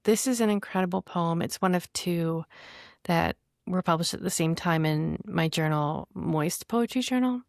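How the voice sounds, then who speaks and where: Low-voiced woman, a guest poet, closely recorded. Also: moderate pace, dry recording, studio quality. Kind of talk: literary conversation with poem reading.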